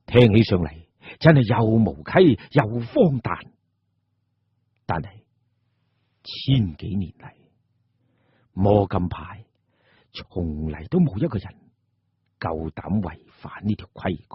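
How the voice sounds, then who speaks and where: Male Cantonese storyteller: very raspy, suspenseful dramatic narration, deliberate pauses, dull close dry sound. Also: on the low side, lively intonation, dry recording. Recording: muffled, dull audio.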